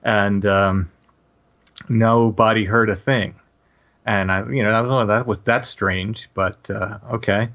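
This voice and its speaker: A man in his late forties, a podcast guest, telling a personal story casually in a halting way with many restarts and fillers. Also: on the low side, fairly even intonation, fairly bright tone, dull audio.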